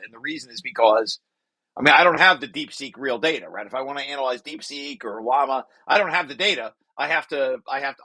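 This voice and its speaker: A man presenting a technical read-through, shifting into informal, halting explanations and often correcting himself. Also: a little high, pushed and bright.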